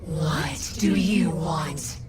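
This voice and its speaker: A woman's voice: sits low, very slow, very noisy. A woman playing a menacing, cryptic supernatural wrestler in a video game, speaking ominously with music behind her. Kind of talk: slow ominous game acting.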